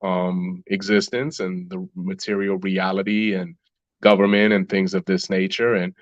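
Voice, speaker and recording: A low-voiced man talks through his ideas slowly and reflectively, often restarting his sentences and filling pauses. His audio sounds dull and dry, with no room around it. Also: little pitch movement, no room sound. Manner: reflective, many fillers and restarts. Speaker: musician guest. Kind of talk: podcast interview answers.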